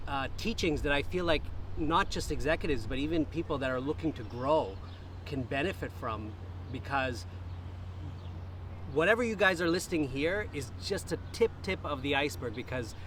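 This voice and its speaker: A man's voice: a little high, normal speed, a little hiss or hum. A young man hosting a podcast, speaking in a loose, admiring way, full of "you know" and "uh" as he thanks his guest. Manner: warm, admiring, loose with fillers. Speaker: podcast host. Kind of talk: casual interview conversation.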